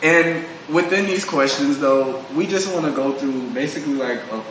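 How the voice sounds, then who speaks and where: Bright-voiced man hosting in an echoey room. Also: a little high, normal speed. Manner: informal, slangy, playful. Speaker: podcast co-host. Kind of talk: casual interview chat. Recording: very echoey room, faint noise.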